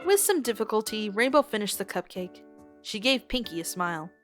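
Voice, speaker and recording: A woman reads a scripted tale as narrator, in a mid-pitched voice. Her delivery is expressive, with faint music underneath. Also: fairly quick.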